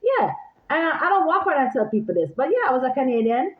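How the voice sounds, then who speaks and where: Jamaican woman vlogger: rambling, animated, high-pitched, recorded in an echoey room. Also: fast talker. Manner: animated, repeats herself, talks straight to viewers. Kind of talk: casual rambling monologue to viewers. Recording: echoey room, phone-like sound.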